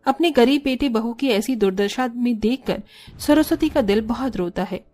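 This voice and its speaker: A woman's voice: a little high, normal speed, darkish tone, soft background music. A woman narrating a scripted cartoon family story as the storyteller.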